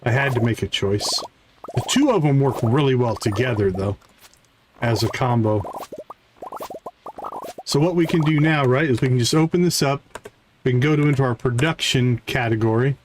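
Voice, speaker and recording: A man's voice: mid-range voice, normal speed, long pauses, noisy background. A man hosting a game stream, casually explaining what is on screen and thinking aloud in an informal way. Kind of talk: casual live gameplay commentary, explaining as they play.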